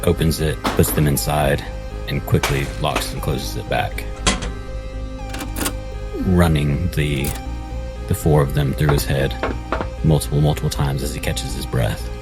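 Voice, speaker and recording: A man playing a tabletop role-playing game, deliberately narrating what his character does, phrase by phrase. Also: extremely low pitch, level tone, over loud music.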